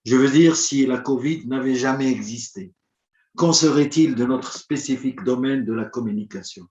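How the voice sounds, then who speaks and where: A man whose first language is Arabic, giving a formal prepared conference address in a measured, rhetorical manner, with long listed points. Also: mid-range voice, a little mellow. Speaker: conference speaker. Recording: roomy, dull lo-fi audio.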